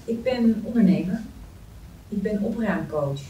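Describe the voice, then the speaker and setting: A woman's voice: mid-range voice, lively intonation, measured pace, warm tone, muffled recording, lightly acted. A woman playing a chatty, idealistic young woman with an earnest, informal manner at a script table read. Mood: dreamy, earnest. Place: meeting room. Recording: roomy, distant mic, faint noise.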